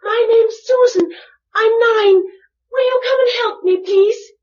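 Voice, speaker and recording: A woman's voice: extremely high pitch, measured pace, a little mellow, no echo, dull audio. An actress playing a brave, matter-of-fact nine-year-old English girl in a radio drama, plain-spoken and earnest. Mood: anxious, plain.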